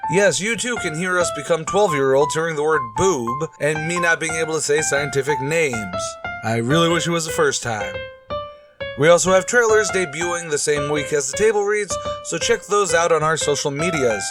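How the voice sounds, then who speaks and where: A man's voice: a little high, lively intonation, normal speed. A man hosting a podcast, giving an outro announcement in a chatty, playful way. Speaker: announcer and host. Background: music.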